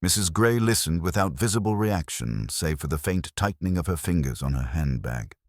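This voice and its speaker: A man narrating a period mystery story in a measured, literary manner. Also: low voice, animated, dry recording, studio quality.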